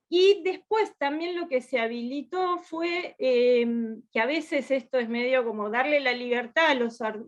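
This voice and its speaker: A high-voiced Argentine woman lecturer presents informally at a conference. She speaks slowly and conversationally, often checking in with little tag questions. The audio is dull and lo-fi, like an online call. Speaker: university lecturer in art history.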